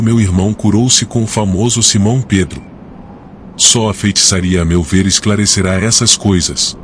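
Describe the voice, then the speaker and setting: A man playing a seasoned, superstitious camel driver and servant in an audiobook drama, grave, wary and deliberate. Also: lowish voice, close to an everyday voice. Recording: faint background noise.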